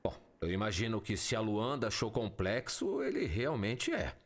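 An actor playing a defiant, sarcastic military major in an audio drama, sardonic and emphatic. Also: male voice, fairly low pitch, wildly swooping pitch, moderate pace, heavily acted. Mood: sceptical.

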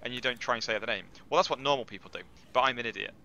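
A man's voice: medium pitch, racing, vocal fry, sharp tone, slight background noise. A man in his thirties with an English accent, a streamer telling self-mocking stories in casual chat through a headset mic in a small room. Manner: chatty, self-mocking storytelling with swearing.

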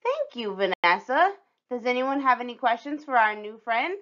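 A woman's voice: a little high, big pitch swings, moderate pace, a bit bright, dull audio, pitched above the performer's own voice. A woman voicing a cheerful, orderly female schoolteacher in a children's storybook. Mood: welcoming.

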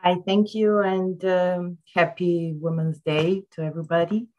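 Woman, 30s, Serbian accent. Lowish voice, very slow and halting, thinking aloud as a panelist. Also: little pitch movement, mellow, muffled recording. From Serbia, born in Yugoslavia. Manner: halting, reflective, with frequent hesitations. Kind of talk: informal panel remarks. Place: indoor room at home, video call. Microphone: wired headset on a video call.

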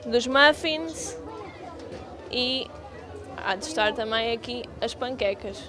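A woman's voice: fairly high pitch, slow, crowd noise. A young woman with a European Portuguese accent explaining items at an outdoor fair stand into a handheld mic. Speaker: student exhibitor. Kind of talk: casual explanation. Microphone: handheld reporter mic.

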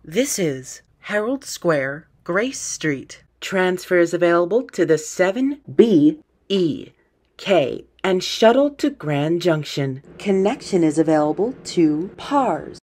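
A woman announces trains and stops in a formal, scripted style. Her voice is on the low side and slightly husky, with lively intonation and unhurried, segmented phrasing. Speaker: subway station announcer.

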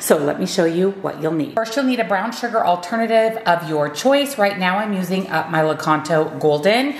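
A woman's voice: fairly low pitch, animated, normal speed, echoey room, slight background noise. A woman giving upbeat step-by-step meal prep instructions in her home kitchen. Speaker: cooking vlogger.

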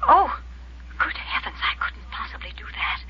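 A woman's voice: moderate pace, noisy background, lightly acted. A woman playing a prim, fussy, flustered small-town wife in a 1950s radio drama. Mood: flustered, coy. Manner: fluttery, proper, gossipy. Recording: muffled 1950s radio recording.

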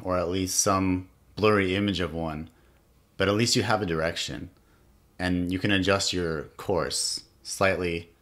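A young man in his twenties hosting a solo podcast, talking in a reflective, earnest way with pauses as he thinks aloud, in a small room at home. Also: low voice, fairly bright tone. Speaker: podcast host. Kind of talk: solo motivational podcast monologue.

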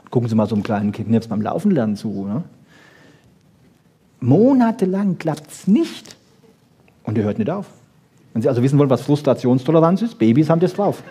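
A man giving a lively popular science lecture, emphatic, with rhetorical questions and short punchy asides. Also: mid-range voice, brisk, pauses often, husky. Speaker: lecturer on brain research.

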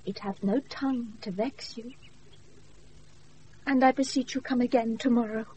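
Passionate British noblewoman for classical radio drama, female actor, high, formal, yearning; hissy off-air recording. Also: warm tone, dull audio, lightly acted. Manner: formal, yearning, measured verse delivery. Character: lovesick noble lady, proud and passionate. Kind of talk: classical radio play acting.

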